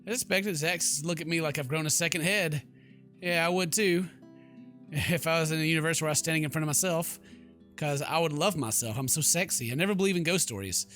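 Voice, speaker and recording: A man's voice: a little high, fairly quick, a bit bright, faint music behind. A man giving casual, sarcastic, joking commentary as he plays a game. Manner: playful, sarcastic, exclamatory. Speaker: gaming YouTuber, let's player.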